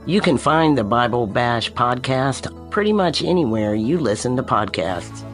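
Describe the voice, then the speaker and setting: Male podcast co-host, animated promo read, soft music behind. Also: medium pitch, a little slow.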